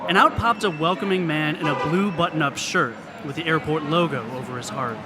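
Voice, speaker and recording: A man's voice: fairly high pitch, fairly quick, a crowd in the background. A man narrating a scripted radio story live on stage as a reporter. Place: theater stage. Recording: live theater with music behind.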